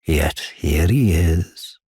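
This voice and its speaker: A man voicing a gentle, wise giant blacksmith and war counselor in a fantasy game, speaking in a courteous, solemn, formal way with a deep, husky, gravelly voice. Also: lively intonation, drawn-out words, no room sound, clean studio sound, exaggerated voice. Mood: reflective, solemn. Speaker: video game character. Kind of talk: scripted fantasy game dialogue.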